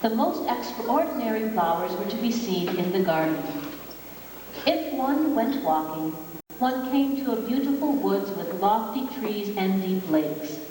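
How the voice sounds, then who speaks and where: Woman, fairy-tale narrator, mellow tone, long hall reverb, scripted storytelling. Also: mid-range voice, lively intonation, measured pace. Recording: echoey hall, distant mic, faint noise.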